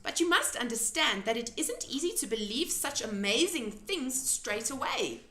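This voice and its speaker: A woman voicing an earnest, curious little girl in a storybook, eager with questions and quick to plead. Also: on the high side, big pitch swings, pushed and bright, reverberant, voice pushed up. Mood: apologetic.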